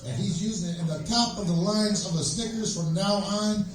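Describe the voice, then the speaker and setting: A man doing an amateur stand-up set on stage, telling jokes in a halting, rambling way. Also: high voice, soft background music. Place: small live comedy venue, stage. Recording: echoey room, distant microphone.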